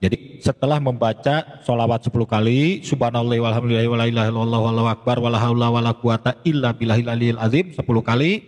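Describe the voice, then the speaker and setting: A man's voice: medium pitch, steady tone, fast, few pauses, darkish tone. Indonesian guy doing an islamic tutorial, informal explainer, mid voice, big echoey reverb. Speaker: Islamic teacher. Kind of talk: religious tutorial with Arabic prayer recitation. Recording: long reverberant hall echo.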